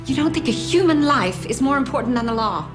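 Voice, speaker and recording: A principled female surgeon, earnest and firm in her convictions. Soft music plays under her lines. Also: sits high, animated, brisk. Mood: determined. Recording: TV drama with music.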